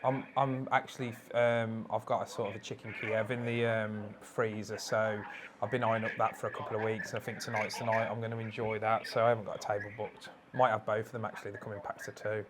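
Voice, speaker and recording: A man making prank phone calls in a hesitant, rambling, deadpan manner. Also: fairly low pitch, fast. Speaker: prank caller. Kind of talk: casual phone prank chat. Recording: noisy phone prank call recording.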